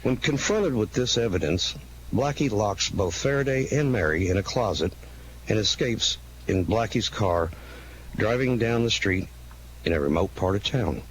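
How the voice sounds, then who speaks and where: A man with a deep voice reads scripted narration for a radio drama. His reading stumbles slightly, and there is faint noise behind him. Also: animated, normal speed, poor audio.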